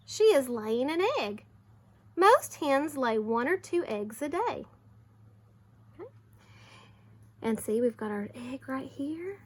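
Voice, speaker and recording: A woman teacher reading aloud to young children, expressive, with simple explanations along the way. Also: high-pitched, a little hiss or hum.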